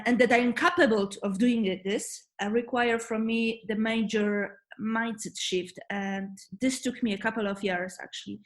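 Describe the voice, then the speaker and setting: A woman from Poland with a Polish accent, a guest in a casual interview, speaking earnestly and often pausing with fillers. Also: a little high. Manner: many fillers and restarts, laughs easily, warm and earnest.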